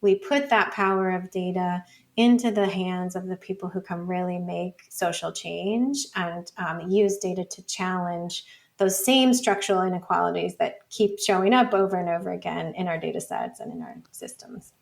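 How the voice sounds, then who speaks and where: A woman's voice: lowish voice, expressive, moderate pace, creaky. Thoughtful woman explaining ideas informally. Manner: thoughtful, informal, hedging with frequent "like" and "sort of". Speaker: podcast guest, author and academic. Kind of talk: conversational interview explanation.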